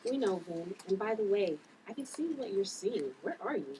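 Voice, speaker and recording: Woman acting a blunt, animated superhero sister. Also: medium pitch, moderate pace, close to an everyday voice. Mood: blunt, firm. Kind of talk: table read of a screenplay. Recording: room recording with background noise.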